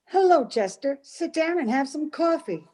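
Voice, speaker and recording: An actress playing a sharp-tongued, protective Old West woman in a radio western, blunt and emphatic in manner. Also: high voice, expressive, moderate pace. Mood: cordial.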